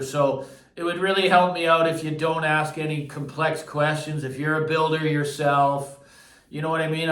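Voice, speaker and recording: A man's voice: on the high side, a bit bright. A man from Canada giving a casual, unscripted gear review as an enthusiastic vlogger, stressing his points by repeating them. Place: echoey listening room. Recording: roomy echo, distant microphone.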